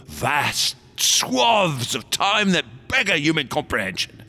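An actor in a radio drama playing a fanatical, temperamental artist, rambling and stammering with feverish intensity in a gravelly, raspy voice. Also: male voice, a little high, wildly swooping pitch, takes it slowly, sharp tone, full character voice. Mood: feverish zeal. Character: fanatical, temperamental male artist of monstrous museum exhibits.

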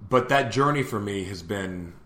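A man's voice: on the low side, lively intonation, moderate pace, sharp tone, echoey room. Man giving a preachy pep talk. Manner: preachy, repeats questions to listeners. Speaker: show host. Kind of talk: live motivational talk to listeners.

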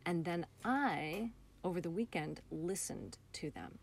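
Woman with a slightly low voice. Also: expressive, a little slow, gravelly, slightly rough. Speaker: playwright and director, podcast guest. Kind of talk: casual interview conversation. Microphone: computer call, also recorded on her phone.

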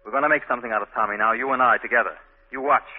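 A man's voice: mid-range voice, racing, close to an everyday voice. An actor playing an earnest, resolute young neighborhood man in a 1940s radio drama, firm and determined, with an American accent. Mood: determined, reassuring. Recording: tinny, band-limited 1940s radio recording.

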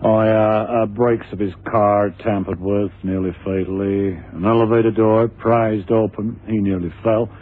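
An actor playing a brisk, courteous official who explains things with small hesitations, in 1960s radio drama style. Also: male voice, fairly low pitch, level tone, phone-quality sound, lightly acted. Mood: explanatory. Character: brisk, courteous male official introducing a specialist. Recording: thin noisy old radio broadcast.

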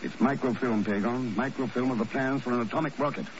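A dull, noisy old radio broadcast carries a resolute male secret agent played by a man. He speaks with composed, clipped authority at a medium pitch, in classic radio drama style. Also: steady tone, fast, natural voice. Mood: calm, wry. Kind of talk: 1950 radio drama acting.

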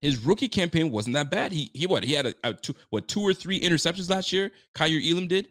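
Man, late thirties, sports show host, animated and chatty, lively pitch, dry recording. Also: fast, no echo. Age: 30s. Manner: animated, chatty, repeats himself. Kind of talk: casual sports talk.

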